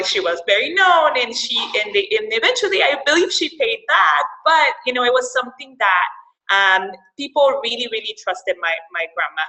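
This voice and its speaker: A young woman from El Salvador explains credit in a webinar, her voice a little higher than most and very bright. She talks conversationally, repeating words and leaning on fillers. The sound carries a clear echo of the room. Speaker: financial counselor presenting a webinar. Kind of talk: webinar presentation.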